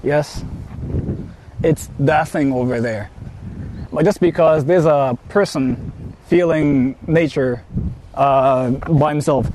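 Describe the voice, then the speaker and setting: A young man with a Japanese accent rambles through a casual live stream, joking and circling back with fillers and repeats. His voice sits a bit high for a man. Loud wind buffets the phone mic outdoors. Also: takes it slowly, pauses often.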